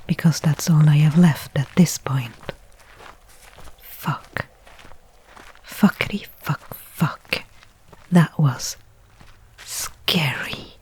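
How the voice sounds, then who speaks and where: Breathy, slow, weary stranded woman playing a space explorer. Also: deep voice, darkish tone, heavily acted, rough recording. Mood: wry, weary, then shaken, wry. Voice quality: breathy, whispery. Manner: wry, halting, talking to herself. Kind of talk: ASMR audio roleplay monologue.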